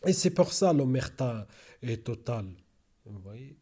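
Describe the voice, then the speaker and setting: A slow-talking man with a dark, mellow voice speaks French as a second language in a casual monologue to his viewers. He rambles, repeats himself and keeps stalling on fillers while he searches for words. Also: mid-range voice, expressive, breathy edge. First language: not French. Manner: rambling, repeats "vous voyez" often; hesitant, many fillers and restarts. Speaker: YouTuber.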